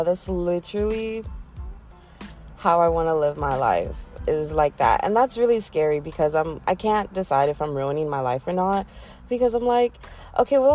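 A woman in her early twenties hosting a casual solo podcast, chatty and self-deprecating, often jumping up in pitch with giggles. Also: warm tone. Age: 20s. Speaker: podcast host. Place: in a car.

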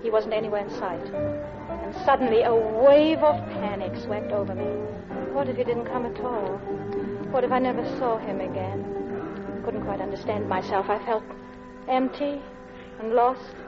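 An actress playing an anxious, guilt-ridden middle-class wife in a classic radio drama, with a polite, hesitant, confessional manner. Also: female voice, a little high, normal speed, lightly acted. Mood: wistful, confessional. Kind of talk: classic radio drama acting, with intimate narration. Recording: dull old radio recording with music.

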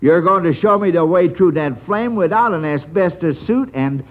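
A man's voice: fairly high pitch, moderate pace, narrow, tinny audio, natural voice. Man putting on a brash wisecracking gangster, old radio. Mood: menacing, bossy. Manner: brash, threatening street slang. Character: slangy wisecracking male gangster tough guy. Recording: band-limited old radio broadcast.

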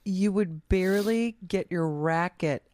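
A woman's voice: on the low side, unhurried, darkish tone. A middle-aged woman co-hosting a podcast, chatting casually, teasing and laughing often. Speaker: podcast co-host. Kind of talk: casual banter.

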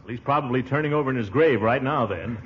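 A man's voice: mid-range voice, fast, close to an everyday voice. A man acting as a pompous, blustering middle-aged suitor in a theatrical 1940s radio comedy, with a chuckling manner. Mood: indignant. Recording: dull, hissy old radio recording.

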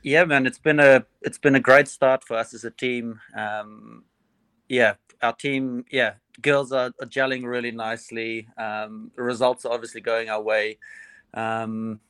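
South African man, flat steady voice. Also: medium pitch, a little slow, clean tone, no echo. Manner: relaxed, hesitant with frequent ums. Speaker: assistant cricket coach. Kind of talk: phone interview.

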